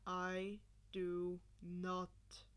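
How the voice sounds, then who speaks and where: A woman voicing a nonchalant, reserved husband in a story, with a deadpan, low-key delivery. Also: extremely slow, clear, resonant tone. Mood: defensive.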